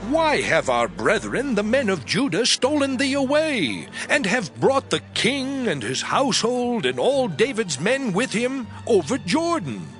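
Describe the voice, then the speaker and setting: Male actor as offended tribesman in Bible drama, extremely animated high declamation, music underneath. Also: measured pace, pauses often, exaggerated voice. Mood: aggrieved. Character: aggrieved spokesman of the men of Israel.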